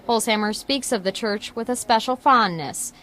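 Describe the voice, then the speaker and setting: A woman's voice: a little high, normal speed, fairly bright tone, slight background noise. A woman reporter reading a scripted feature story for television news.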